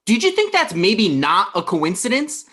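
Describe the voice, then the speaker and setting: A man's voice: sits high, wildly swooping pitch, normal speed, pushed and bright, heavily acted. A man in his thirties acting an irritable, sarcastic, paranoid character in a table read over a video call. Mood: argumentative.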